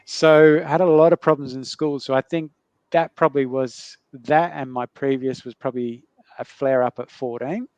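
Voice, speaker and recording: A man's voice: mid-range voice, fairly even intonation, moderate pace, a little mellow, dry recording, muffled recording. Rambling middle-aged man. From Netherlands. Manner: rambling, many fillers and restarts, laughs between remarks. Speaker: guest sharing his health story. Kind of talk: casual conversational storytelling.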